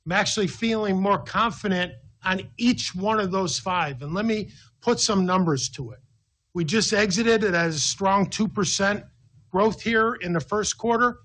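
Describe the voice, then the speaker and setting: A man with a notably high voice speaks as a company finance executive. He lays out his business briefing point by point, methodical and emphatic. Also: sharp tone, poor audio. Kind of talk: business briefing, answering an analyst with numbered points.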